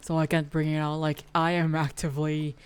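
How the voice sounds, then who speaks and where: Filipina streamer, tagalog native, deep-ish smooth voice, lots of likes and ums. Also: female voice, little pitch movement, fairly quick. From Philippines. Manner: hesitant, full of fillers and restarts. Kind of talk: casual chat while playing a game.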